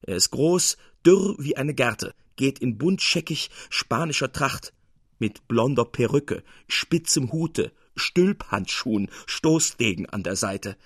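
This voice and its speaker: Guy narrating an old literary story, husky voice, expressive and precise, really dry sound. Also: mid-range voice, slow pace, no room sound. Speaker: narrator, reader.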